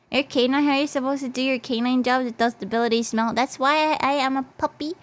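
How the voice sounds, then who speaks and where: Woman streamer with a stuffy nose, slightly hoarse. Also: sits high, fairly even intonation, brisk. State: slightly losing her voice. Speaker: VTuber livestreamer. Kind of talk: casual livestream chat in English mixed with Japanese.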